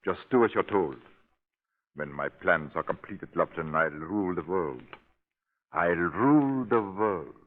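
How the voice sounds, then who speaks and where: A domineering gang boss from a 1930s radio play gives curt commands in a low voice. The recording is thin, tinny and narrow. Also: male voice, lively intonation, a little slow. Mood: stern, impatient. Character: domineering male crime boss, ruthless, power-hungry. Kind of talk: 1930s radio drama acting.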